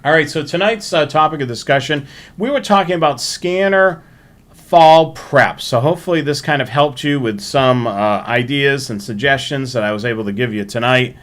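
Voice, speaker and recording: A man hosting a livestream, talking informally through announcements in a halting way and repeating himself. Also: mid-range voice, a bit bright. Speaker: livestream host. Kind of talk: casual livestream sign-off and announcements.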